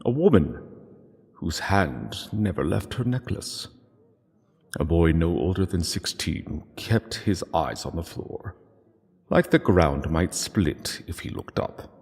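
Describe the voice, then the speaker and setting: A man giving solemn scripted literary narration in a hushed, measured way, with dramatic pauses. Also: sits low, slightly rough. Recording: long hall reverb, music underneath.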